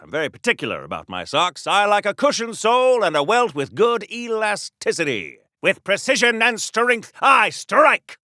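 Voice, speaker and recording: A high, bright, pompous patriotic hero. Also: male voice, no room sound, studio quality, heavily acted. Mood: indignant, earnest, then triumphant, heroic. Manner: theatrical, grandiloquent declaiming. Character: pompous, earnest golden-age patriotic comic-book hero. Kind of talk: old-time heroic serial acting.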